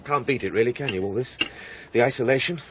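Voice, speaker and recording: An actor playing a devoted, romantic new husband in a radio drama, earnest and tender with old-fashioned phrasing. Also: male voice, mid-range voice, quick pace, background noise, close to an everyday voice. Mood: cheerful, affectionate. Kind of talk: radio drama narration and dialogue.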